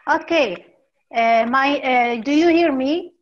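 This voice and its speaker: Middle-aged woman, Moroccan accent, teacher on a call: slow delivery, slightly high voice, lo-fi sound. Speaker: English teacher, guest. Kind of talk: informal self-introduction on a video call. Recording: dull, lo-fi call audio.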